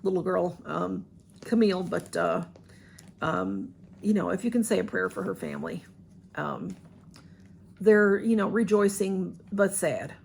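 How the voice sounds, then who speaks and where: A woman's voice: fairly low pitch, animated, a little slow, gravelly, pauses often, slight background noise. A woman with a Southern US accent talking casually to camera, a little nasal and stuffy, rambling and heartfelt. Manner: rambling, heartfelt, soft-spoken. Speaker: vlogger.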